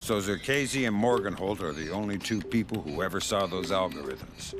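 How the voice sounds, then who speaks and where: Low, deadpan seasoned operative. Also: male voice, normal speed, very noisy. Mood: dry, wry. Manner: deadpan, sardonic. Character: dry, seasoned American covert operative, middle-aged man. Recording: loud music behind the voice.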